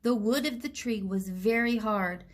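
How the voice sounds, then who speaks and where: A woman reading a picture book aloud to children, with gentle, simple explanations along the way. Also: fairly high pitch, a little slow. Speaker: children's story reader.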